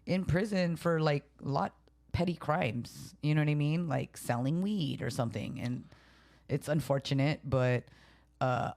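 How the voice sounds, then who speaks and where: A woman's voice: deep voice, a little slow. A woman raised in San Jose, chatting casually on a podcast, blunt and swearing freely. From raised in San Jose, California. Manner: blunt and swearing, tells stories with lively quoted voices. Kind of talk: casual chat.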